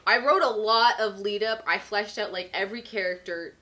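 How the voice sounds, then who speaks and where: A woman hosting a vlog, giving a theatrical, expressive reading of her own writing and chatting casually in a giggly way. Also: mid-range voice, normal speed, slight background noise. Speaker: vlog host.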